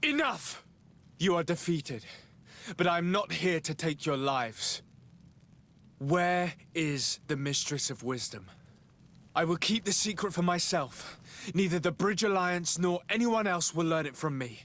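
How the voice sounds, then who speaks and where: A man's voice: a little high, lively intonation, unhurried, a bit bright. A man playing an earnest, courteous and resolute young nobleman envoy in a video game. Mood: firm, resolute.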